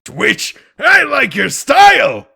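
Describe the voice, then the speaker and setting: A man voicing a bitter, hot-tempered police detective in a comic dub, raspy and forceful, swinging between muttering and ranting. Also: extremely high pitch, huge pitch swings, slow pace, exaggerated voice. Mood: gleeful. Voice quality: gravelly, raspy.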